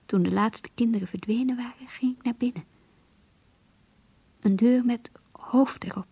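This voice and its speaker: A yearning young woman confiding her diary in a radio play. Her voice is fairly high and very breathy, almost whispered, in a close, dry sound. She sounds earnest, intimate and a little anxious. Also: moderate pace, warm tone, dry recording, lo-fi sound. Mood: nervous, expectant. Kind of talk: radio drama diary narration.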